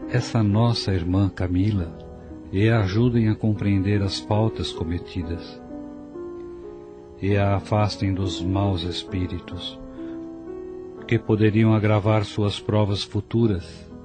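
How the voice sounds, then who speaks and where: Actor as pious radio drama father, low voice, calm, consoling, music behind. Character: devout, steady father, consoling and firm.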